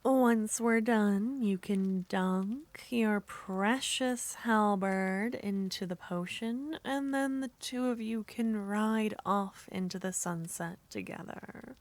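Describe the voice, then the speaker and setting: A woman voicing a seductive, possessive witch, speaking softly in half whispers with a teasing manner in an ASMR roleplay. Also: medium pitch, slow pace, few pauses, no echo.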